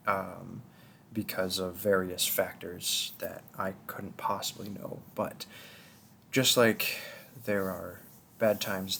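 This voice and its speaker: A soft-spoken man giving a casual, earnest outro to his listeners as an audio creator, with a hesitant delivery. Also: low voice, slight background noise.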